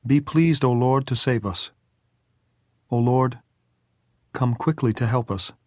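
A man playing a solemn mourner reciting scripture in precise, measured, pleading lines for an audiobook. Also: mid-range voice, a little mellow, no echo, studio quality, pitched above the performer's own voice, natural voice. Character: solemn man reciting a psalm over a grave.

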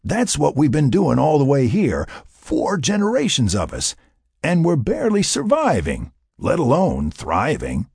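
Man playing a gruff, husky, hot-headed settler. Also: a little high, wildly swooping pitch, a little slow, darkish tone, no echo, studio quality, pitched above the performer's own voice, exaggerated voice. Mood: insistent. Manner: folksy, argumentative. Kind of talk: audiobook character dialogue.

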